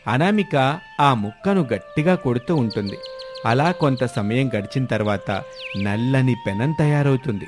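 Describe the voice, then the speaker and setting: A man's voice: medium pitch, lively intonation, normal speed. A man reading a scripted story as its narrator. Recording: music bed behind the voice.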